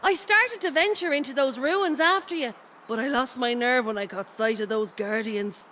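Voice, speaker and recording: A woman's voice: high voice, normal speed, a bit bright, slight background noise. A woman voicing a friendly, slightly nervous adventuring companion in a video game, chatty and eager. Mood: relieved, friendly. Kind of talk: scripted video game quest dialogue.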